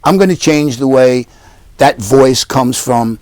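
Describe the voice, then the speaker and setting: Older male candidate, earnest stump-speech cadence, plain mid pitch, dry recording. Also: slow pace, a little mellow, no echo. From Yonkers, New York. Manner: earnest, repetitive campaign speech. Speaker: council candidate. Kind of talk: interview answers. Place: living room at home.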